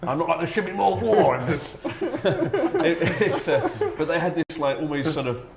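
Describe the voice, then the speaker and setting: A man telling a casual comic anecdote to friends in an animated, sardonic way, acting out quotes. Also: a little high, moderate pace, over a noisy crowd. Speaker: storyteller, former English teacher. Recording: roomy echo with clear background noise.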